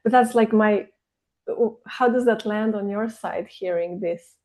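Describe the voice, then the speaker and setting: Warm-toned woman podcast co-host. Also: mid-range voice, unhurried. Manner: affirming, reflective questioner. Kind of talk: conversational interviewing.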